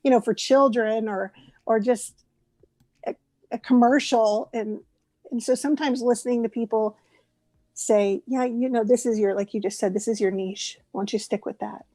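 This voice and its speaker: A woman in her late forties, a voice actress chatting as an interview guest, talking warmly and laughing often. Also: on the high side, steady tone, normal speed, no room sound. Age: 40s. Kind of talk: casual interview chat.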